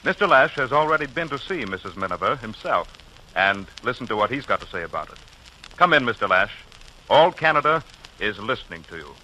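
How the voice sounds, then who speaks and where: A man's voice: medium pitch, sing-song, brisk, pushed and bright, poor audio. A man hosting a scripted 1940s radio broadcast as a folksy, showmanlike announcer. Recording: hissy 1940s radio recording.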